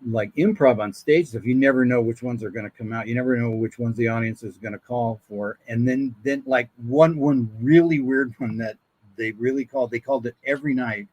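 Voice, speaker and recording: A man's voice: mid-range voice, a little fast, a little mellow, rough recording. A man chatting casually and telling anecdotes as a voice actor guest on a podcast.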